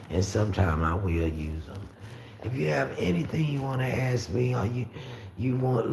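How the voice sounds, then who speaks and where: A raspy-voiced man from Florida hosting a casual livestream, talking easily and reading out viewer comments. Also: on the low side, a little mellow. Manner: rambling, easygoing, frequent fillers and restarts. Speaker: livestream host. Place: room at home with the windows open. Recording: roomy echo with faint street noise.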